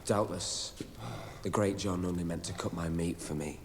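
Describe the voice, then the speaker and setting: An actor playing a composed young lord in a fantasy TV drama, speaking in a measured, formal, commanding way. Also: male voice, low voice, steady tone, natural voice. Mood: calm authority. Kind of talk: period fantasy TV drama acting. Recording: reverberant hall, loud noise behind.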